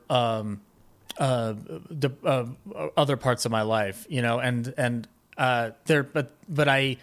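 A man with a mid-pitched voice talks casually as an interview guest. He rambles through his recollections in a halting way, often doubling back and rephrasing in the middle of a sentence. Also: a bit bright. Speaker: podcast guest. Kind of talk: casual conversational interview, reminiscing.

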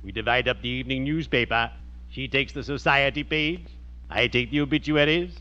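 An actor playing a friendly, morbidly genteel undertaker in old radio comedy, speaking formally and solemnly with drawn-out words and macabre puns. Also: male voice, mid-range voice, bright, forceful tone, clear, resonant tone, close to an everyday voice. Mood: dry, matter-of-fact. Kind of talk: 1940s radio comedy acting. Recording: dull, noisy old radio recording.

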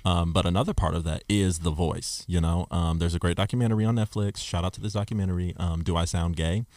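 A man's voice: sits low, fairly even intonation, fast talker, darkish tone, no echo, clean studio sound. A man hosting a podcast discussion, talking reflectively in long explanatory runs. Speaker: podcast host. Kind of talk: casual discussion.

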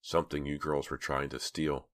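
A man voicing a big, cold, menacing male killer in a storybook reading, speaking low and slow. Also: flat delivery, no echo.

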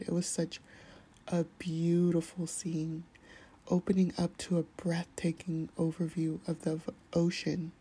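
A calm, soft-spoken woman hosting a podcast, sharing a reflective personal monologue in a hushed, whispery way. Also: lowish voice, slightly rough. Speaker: podcast host.

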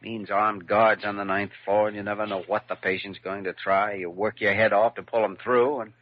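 A man's voice: lowish voice, flat delivery, moderate pace, close to an everyday voice. An American man acting a dedicated, courteous young hospital doctor in an old-time radio drama. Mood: earnest. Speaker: lead actor in a radio drama. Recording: dull vintage radio recording with music.

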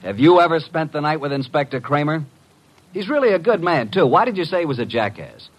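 An actor playing a wry, cheeky, streetwise detective's assistant with a breezy, mock-polite manner, in 1950s radio drama style. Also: male voice, fairly high pitch, sing-song, fairly quick, low-quality recording. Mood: peeved, skeptical.

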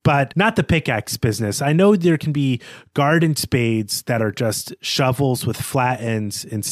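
A man speaks in a mid-pitched voice as a podcast co-host in a casual book discussion. He is conversational and a little wry, rephrasing as he goes, and the recording is dry with no audible room. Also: expressive, measured pace, no echo.

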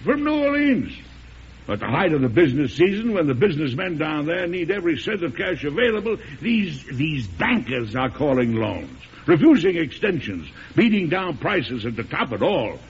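Blustery old American president. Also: male voice, on the high side, sing-song, moderate pace, breathy edge, full character voice. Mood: indignant. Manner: blustery, emphatic, oratorical. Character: gruff, hot-tempered old male American president, hearty and commanding. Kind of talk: 1940s-50s radio drama acting. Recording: dull vintage radio recording.

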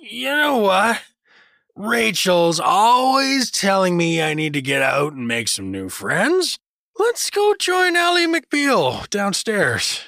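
A man's voice: sits high, very animated, slow pace, vocal fry, sharp tone, no echo, clean studio sound, exaggerated voice. A man playing a goofy, boastful, drunken adventurer in a fantasy audio drama, slurring and muddling his words. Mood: tipsy, playful.

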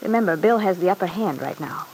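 An edgy, anxious radio-drama daughter. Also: female voice, lowish voice, expressive, fast, darkish tone, breathy edge, rough recording. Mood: urgent, cautioning. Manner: nervous, pleading, hesitant. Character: anxious, edgy wealthy daughter with something to hide. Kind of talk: classic radio soap opera acting.

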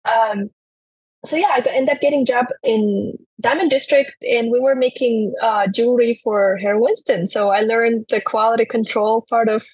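A woman's voice: on the high side, steady tone, normal speed, darkish tone, husky, dry recording, highs cut off. A young woman from Turkmenistan, a podcast guest earnestly telling her personal story in casual talk.

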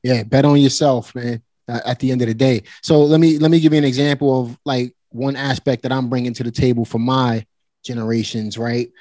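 A man's voice: medium pitch, steady tone, a little fast, mellow, no echo. A man in his forties talking casually and directly to listeners in a motivational way. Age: 40s. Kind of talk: casual conversation.

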